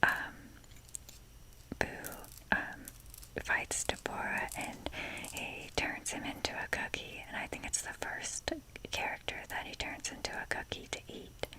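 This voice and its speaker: A young adult woman whispering in a breathy, casual, rambling ASMR style as she shows things off. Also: sharp tone, low-quality recording. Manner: unhurried, informal, rambling. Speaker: ASMR video creator.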